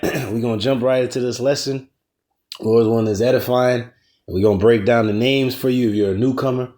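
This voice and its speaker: A man of ordinary pitch delivers a religious lesson in a relaxed, street-slang style. He curses freely and pushes his voice up to stress his points. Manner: loose, slangy preaching with cursing. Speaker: teacher in a religious ministry. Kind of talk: casual scripture lesson.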